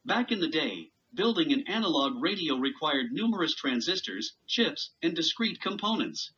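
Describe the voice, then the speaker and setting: A man's voice: a little high, very animated, normal speed, bright, forceful tone. A man reading a scripted product review with explanatory narration, on a slightly dull recording.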